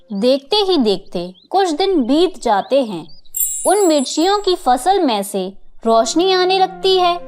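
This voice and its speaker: A woman narrator reading a scripted children's moral story. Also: high voice.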